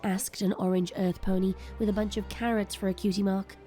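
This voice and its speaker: A woman narrates an audio drama in a warm, dark-toned voice of medium pitch. The delivery is scripted, dramatic storytelling, with faint music behind it. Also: level tone, a little fast.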